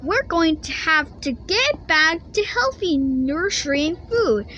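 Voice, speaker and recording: Woman as high-voiced, scolding mother bear. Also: sing-song, takes it slowly, sharp tone, lo-fi sound, a little hiss or hum, full character voice. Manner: firm, admonishing.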